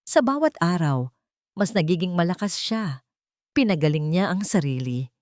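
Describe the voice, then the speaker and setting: A woman narrating a scripted story in an earnest, measured and emotive way. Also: fairly low pitch, big pitch swings, a little mellow, no echo, clean studio sound. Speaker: audiobook narrator.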